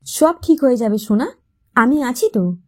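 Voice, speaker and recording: Woman playing a tender, mellow young husband. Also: fairly high pitch, lively intonation, normal speed, slightly rough. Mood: soothing. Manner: tender, soothing. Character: loving, reassuring young husband. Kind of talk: dramatised audio storybook.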